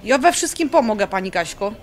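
An actress playing a perky, cheeky young bride-to-be with an eager, folksy manner in a radio drama. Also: female voice, mid-range voice, expressive, fairly quick, faint music behind, natural voice.

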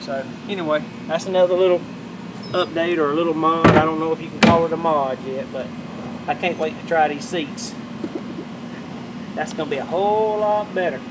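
A middle-aged man with a drawl and a slightly raised pitch walks through his backyard project in a loose, self-correcting ramble. He is captured on a camera mic in the open air, with heavy background noise running under his voice. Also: fairly even intonation, moderate pace. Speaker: vlogger showing his boat upgrades. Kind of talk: casual show-and-tell. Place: backyard outdoors. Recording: outdoor camera audio with loud background noise.

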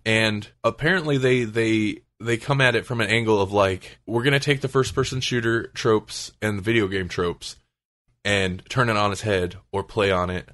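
Casting: male podcast host, lower-pitched voice, casual gamer chat. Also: normal speed, fairly bright tone. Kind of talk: casual chat about video games.

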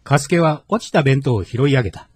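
A man's voice: mid-range voice, expressive, brisk, darkish tone, slightly rough. A man narrating a scripted radio drama as its storyteller.

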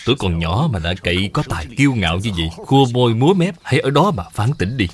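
A man playing a stern, wise father in an educational cartoon, teaching in a measured, authoritative way. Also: lowish voice, big pitch swings. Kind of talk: dubbed educational cartoon acting.

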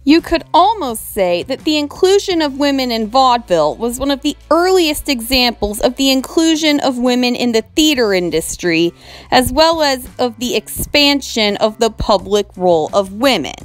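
A woman hosting an educational video, reading a scripted narration over slides in a measured, explanatory way, with asides to the viewer. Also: sits high, animated, faint music behind.

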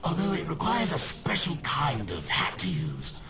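A man's voice: fairly high pitch, huge pitch swings, normal speed. A man voicing a gleeful, theatrical shadowy ghost villain in a video game, mocking and menacing, with a taunting, hammy delivery that swings from mutters to shrieks. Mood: sly, mocking. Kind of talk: exaggerated cartoon video game villain acting. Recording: loud game music behind the voice. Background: loud video game music.

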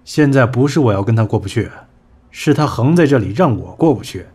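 An actor in a Chinese audio drama playing a sharp-tongued entertainment boss, blunt, scolding and colloquial. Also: male voice, mid-range voice, animated, a little fast, breathy edge. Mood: exasperated.

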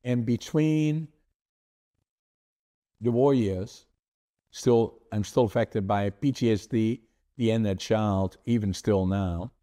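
A man's voice: lowish voice, warm tone. An older man from the Netherlands with a Dutch accent, English not his first language, telling his life story earnestly as a podcast host over a video call. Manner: earnest, deliberate, gestures with his hands. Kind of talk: conversational storytelling. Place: home office with framed certificates.

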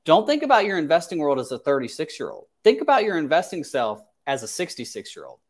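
A man's voice: a little high, huge pitch swings, fast talker. A man in his thirties hosting an advice livestream as a financial advisor, speaking emphatically and repeating phrases for stress. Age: 30s. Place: small indoor home office.

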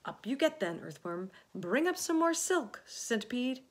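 A woman's voice: fairly high pitch, sing-song, unhurried, voice pushed up. Woman acting urgent, commanding young storybook boy. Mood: commanding shouts. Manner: urgent, bossy, switching between hushed whispers and shouts. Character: brave, commanding young boy leading animal friends.

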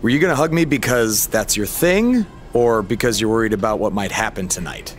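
A man plays an easygoing young wrestler in a video game. He speaks in a lowish voice, casual and a little hesitant, with faint noise behind. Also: lively intonation, fairly quick, natural voice. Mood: awkward, sincere. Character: easygoing, polite young male wrestler hero.

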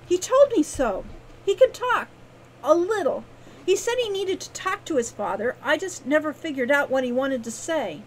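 Lady doing an eager stubborn kid girl from a storybook, high voice, pleading, noisy recording. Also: big pitch swings, moderate pace. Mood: earnest, insistent. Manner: pleading, insistent, playful. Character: eager, stubborn young girl, an aspiring thief. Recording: clearly noisy background.

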